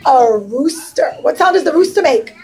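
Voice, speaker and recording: Female children's teacher, high voice, expressive interactive storytelling, speaks directly to young listeners. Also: moderate pace.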